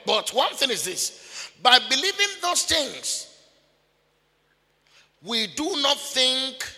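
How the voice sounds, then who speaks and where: A man preaches in a very high, gravelly voice, pressing each point home with repetition and sudden shouts. His sermon rings out with the long reverb of a large hall. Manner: emphatic, repetitive, bursts of shouting.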